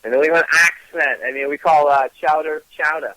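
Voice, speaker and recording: A man's voice: on the high side, steady tone, fairly quick, bright, forceful tone, no room sound, poor audio. Easygoing man with a Gloucester accent. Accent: Gloucester, Massachusetts. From Gloucester, Massachusetts. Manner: easygoing, many ums and uhs. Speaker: guest. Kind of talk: casual interview chat.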